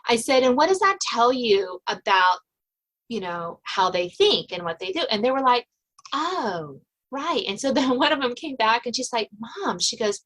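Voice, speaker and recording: A woman's voice: a little high, very animated, normal speed, fairly bright tone. A middle-aged woman speaking conversationally as the host of an interview podcast.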